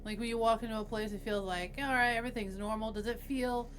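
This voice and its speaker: A woman's voice: fairly high pitch, steady tone, fast talker, a bit bright, slight background noise. A woman playing a tabletop roleplaying game with wry, teasing, casual banter.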